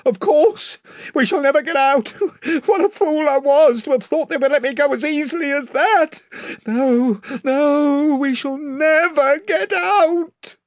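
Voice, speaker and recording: A man's voice: very high voice, unhurried, dry recording, clean studio sound, pitched above the performer's own voice. A man voicing a crazed male stranger who screams and laughs hysterically.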